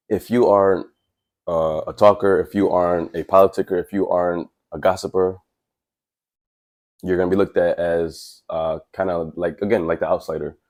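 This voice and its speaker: A man's voice: deep voice, darkish tone. A man who works as a welder, talking casually and frankly to camera, his voice stuffy from a cold. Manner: earnest, plainspoken and frank. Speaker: welder and tradesman vlogger.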